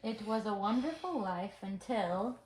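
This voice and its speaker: A woman's voice: medium pitch, expressive, measured pace, a little mellow, reverberant, slight background noise, poor audio. A woman reading a storybook character's dialogue aloud in a home recording. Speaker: co-reader on a child's home video.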